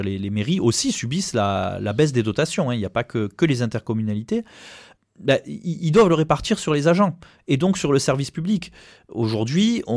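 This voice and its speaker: Deep-voiced Frenchman, halting but emphatic. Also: a little mellow, no echo, studio quality. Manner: halting, repeats words, emphatic. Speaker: trade union representative. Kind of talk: spoken interview, argumentative.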